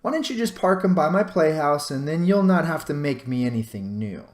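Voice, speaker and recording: A man's voice: fairly high pitch, animated, a little fast, reverberant, lightly acted. A man reading a children's storybook, voicing a bossy, determined young farm girl with an insistent manner. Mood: demanding.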